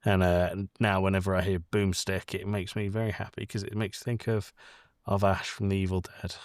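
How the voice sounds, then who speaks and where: A man with a fairly low voice talks casually as a podcast co-host. He is opinionated and playful, wandering through his thoughts. The sound is dry, with very little room in it. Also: level tone, moderate pace, dry recording. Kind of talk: casual chat.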